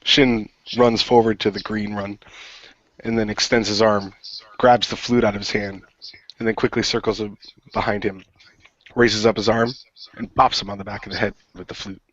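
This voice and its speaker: A man's voice: fairly low pitch, level tone, normal speed, a bit bright, muffled recording. A man narrating his character's actions in third person, matter-of-fact, as a role-play player.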